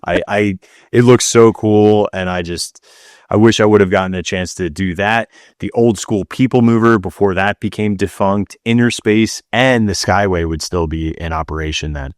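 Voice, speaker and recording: A man's voice: fairly low pitch, moderate pace, dry recording, studio quality. A man co-hosting a podcast in casual chat, slangy and blunt, with emphatic opinions. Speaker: podcast co-host.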